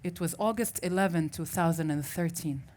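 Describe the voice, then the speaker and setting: A woman's voice: on the low side, moderate pace, a little mellow. A woman from Syria, a native Arabic speaker, giving a reflective personal story as a festival speaker and often restarting as she searches for words.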